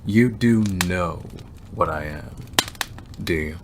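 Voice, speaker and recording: A man playing a predatory, obsessive male werewolf in an ASMR roleplay, talking in a deliberate, teasing way with drawn-out pauses. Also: deep voice, noisy background. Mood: menacing reminiscence.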